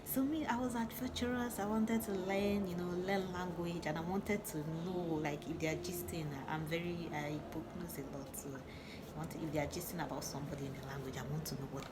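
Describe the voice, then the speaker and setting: A young woman with a Nigerian accent chats through a personal story, her voice sitting low. She laughs often and restarts her sentences as she goes. A loud noise runs steadily behind her. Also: fairly even intonation, a little fast. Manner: chatty, laughing, with many restarts and asides. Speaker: vlogger. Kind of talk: casual storytelling to camera.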